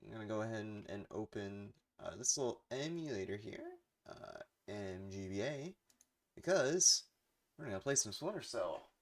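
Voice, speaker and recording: A man's voice: lowish voice, expressive, normal speed, creaky. A man giving casual, rambling livestream commentary as he explains the video games he plays. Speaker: video game streamer. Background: game music.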